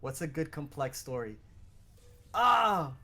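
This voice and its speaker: Excitable man with a slightly high voice. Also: slow pace, gravelly, slight background noise. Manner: excitable, emphatic, slangy. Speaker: podcast co-host. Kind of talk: casual video game and movie chat.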